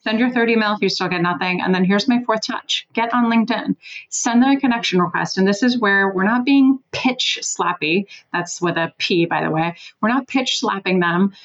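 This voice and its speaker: A woman's voice: medium pitch, moderate pace, highs cut off. Emphatic woman giving sales advice. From Switzerland. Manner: emphatic, animated, casually profane. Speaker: sales consultant guest. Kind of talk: energetic advice-giving interview talk.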